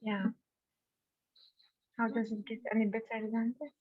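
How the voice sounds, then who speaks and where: A woman's voice: on the high side, level tone. Hesitant woman on a tinny call line. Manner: hesitant, many "uh" pauses, nervous laughs. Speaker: call participant. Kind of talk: asking a question on a group call. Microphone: video call. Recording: tinny phone-like call audio.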